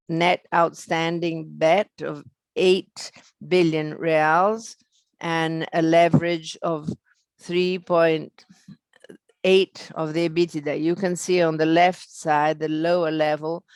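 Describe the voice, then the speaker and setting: A financial presenter speaks at a slow pace on an earnings call, in a smooth, clean voice. The phrasing is halting and broken into fragments, and the sound is very dry with no room around it. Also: medium pitch, no echo.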